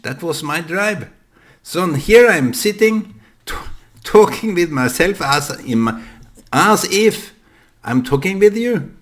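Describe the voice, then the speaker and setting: Slow, gravelly man with a Norwegian accent. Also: on the high side, fairly bright tone. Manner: halting, many fillers and restarts. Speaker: vlogger. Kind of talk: reflective solo monologue.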